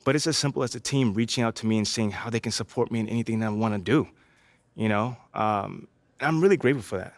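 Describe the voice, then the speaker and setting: A man's voice: on the low side, fast, no room sound, lightly acted. A man playing an easygoing young American basketball player in a video game, chatting casually and politely. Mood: sincere, earnest. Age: young adult. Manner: laid-back, friendly, informal slangy talk. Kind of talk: casual conversational video game cutscene acting.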